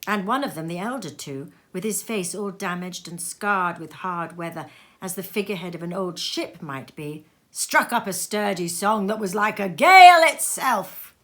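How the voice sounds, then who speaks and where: A woman reading literary fiction aloud as a storyteller, with an expressive, theatrical delivery that moves between hushed and emphatic. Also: fairly low pitch, moderate pace. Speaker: storybook reader. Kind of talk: dramatic literary narration, scripted. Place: sitting room at home.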